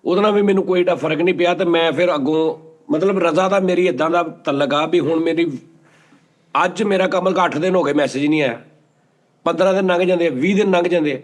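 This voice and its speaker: A man with a fairly high voice, talking in a relaxed storytelling way. He explains at length and animatedly, and his voice sometimes drops low for emphasis. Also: fairly quick. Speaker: storyteller guest, addressed as ustad. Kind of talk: casual storytelling, reading out viewer messages.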